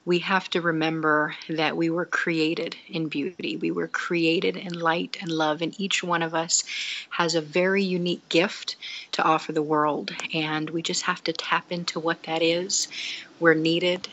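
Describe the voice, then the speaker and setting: Middle-aged woman, low voice, earnest and reflective, close webcam testimony. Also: little pitch movement, measured pace, a bit bright, lo-fi sound. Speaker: interviewee. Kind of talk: personal testimony. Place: indoor room. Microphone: laptop webcam, close.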